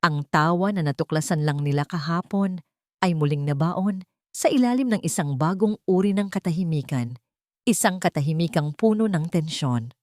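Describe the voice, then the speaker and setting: A woman narrating a scripted audio drama in a measured, earnest, dramatic storytelling manner. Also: fairly low pitch, expressive, a little mellow, no echo, studio quality.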